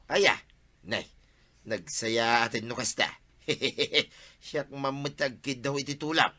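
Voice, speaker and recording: A man's voice: medium pitch, slow, pushed and bright. A man with an Ilocano accent acting a broke, scheming village husband who wheedles for loans in a Filipino radio comedy drama. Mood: amused, scheming.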